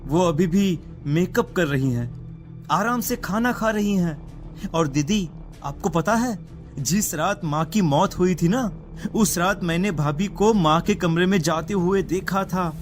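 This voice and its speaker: An actor playing an anxious, suspicious younger brother in a cartoon drama, urgent and insistent. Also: male voice, high voice, a little slow. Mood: urgent, worried. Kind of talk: dramatic cartoon story acting. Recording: music behind the voice.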